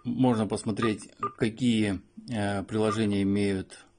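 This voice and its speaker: A man with a fairly low voice hosts an unscripted product review. He goes through things step by step, explaining in short pieces and often pausing to find the next word. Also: flat delivery, very noisy. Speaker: host of a phone review.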